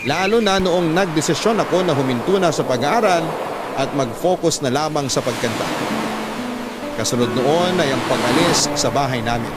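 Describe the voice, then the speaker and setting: A man with a slightly high voice narrates a first-person letter. His delivery is scripted, dramatic storytelling for a podcast. Prominent music plays under his voice throughout. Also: normal speed. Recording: loud music bed behind the voice.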